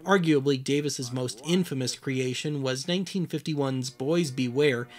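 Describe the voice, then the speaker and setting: A man's voice: medium pitch, normal speed, faint music behind. A man hosting and narrating a scripted documentary series with a dry, wry manner.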